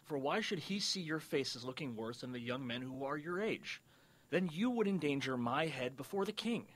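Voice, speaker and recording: A man's voice: a little high, expressive, normal speed, pitched above the performer's own voice. A man playing an anxious, deferential chief official of a royal court in a dramatized Bible reading, with a fearful, servile manner. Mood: fearful, pleading.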